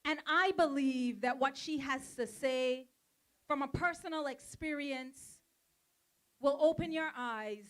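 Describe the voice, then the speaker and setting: A woman emceeing an outdoor rally in a park, energetic and coaxing as she introduces the next speaker. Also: sits high, takes it slowly. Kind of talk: emceeing and chant leading.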